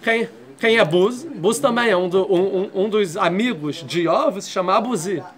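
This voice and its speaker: Man teaching a torah class, high voice, patient, walks through each point. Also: moderate pace. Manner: explains step by step, checks in with "tá?". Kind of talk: classroom lecture.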